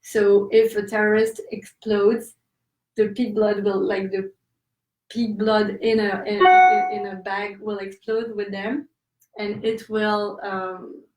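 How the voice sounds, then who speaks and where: A woman's voice: medium pitch, flat delivery, takes it slowly, darkish tone, far from the mic, soft background music. A woman with a French accent, answering interview questions as an author, hesitant with many fillers and laughing asides. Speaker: author guest. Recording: roomy echo, off-mic.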